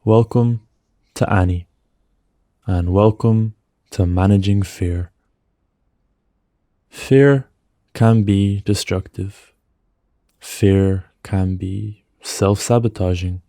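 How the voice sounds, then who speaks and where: A man narrating a guided meditation in a soothing, gentle manner, with measured phrases and pauses, close on the mic. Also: lowish voice, fairly even intonation, no room sound.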